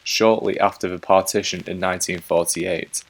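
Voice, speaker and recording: A man narrating a documentary in a steady, explanatory scripted delivery. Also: deep voice, moderate pace, rough recording. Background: guitar music.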